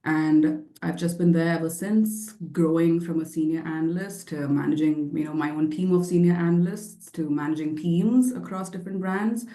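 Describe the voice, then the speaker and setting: A woman from India with an Indian accent introducing herself on a panel, friendly and measured, on a video call. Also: sits low, steady tone, dark tone. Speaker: panelist, data analytics director. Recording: echoey room on a call.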